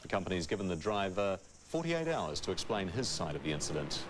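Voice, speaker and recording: A man's voice: low voice, very animated, brisk, a little hiss or hum. A man with a New Zealand accent reading scripted news as a presenter.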